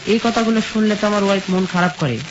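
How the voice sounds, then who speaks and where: High-voiced young man over sizzling noise. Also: fast talker. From rural Bangladesh. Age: young adult. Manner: plain colloquial village speech, recounting step by step. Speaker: guest telling his life story. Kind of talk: personal storytelling in an interview, quoting dialogue. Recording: dull sound with steady sizzling noise.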